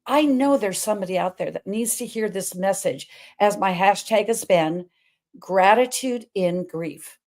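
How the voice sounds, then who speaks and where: A middle-aged woman with a big, full voice hosts her own show in an energetic, emphatic way. She talks freely and wanders from one point to another, with a pitch that sits right in the middle for a woman. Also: a little slow. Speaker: host. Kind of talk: personal talk-show monologue.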